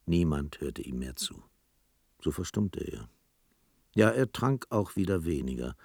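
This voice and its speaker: A man with a very deep voice narrates a radio drama. He tells the story in a measured, literary manner, with a very dry studio sound around the voice. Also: very animated, vocal fry, warm tone, slightly rough, dry recording.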